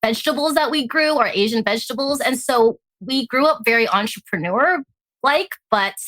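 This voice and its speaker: A woman raised in Alaska and born in Hong Kong, a tea company founder chatting as a guest in an interview, lively and laughing often. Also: on the high side, moderate pace, no room sound. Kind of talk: casual interview chat.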